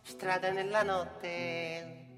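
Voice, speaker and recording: Very slow, echoing, wistful woman. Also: lowish voice, flat delivery. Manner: repetitive, drawn-out greetings. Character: wistful woman bidding the night street farewell. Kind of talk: slow musical, poetic stage refrain. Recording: long reverb under loud music.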